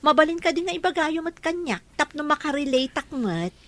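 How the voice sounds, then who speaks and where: A young woman with an Ilocano Filipino accent playing a forgiving, excitable elder sister in an audio drama. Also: high voice, brisk. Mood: curious, surprised. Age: young adult. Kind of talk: audio drama acting in dialogue.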